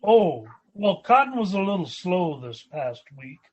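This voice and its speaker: A genteel young millionaire played by an actor in a radio sitcom, earnest and courteous. His voice is high and unhurried, on a slightly muffled recording. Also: very animated, heavily acted. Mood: mild, puzzled. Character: polite, genteel, mild-mannered young millionaire banker. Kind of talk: old-time radio sitcom acting.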